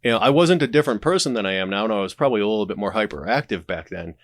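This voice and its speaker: A man's voice: on the low side, animated, rapid-fire, no room sound, studio quality. A man speaking as a podcast guest in a casual, candid conversational style. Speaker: podcast guest, audio drama creator and streamer.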